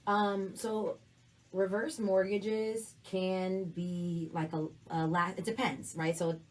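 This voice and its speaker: A woman financial advisor with a raspy voice, explaining money matters as a talk show guest and often checking in with "right?". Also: medium pitch, level tone, slow pace, poor audio.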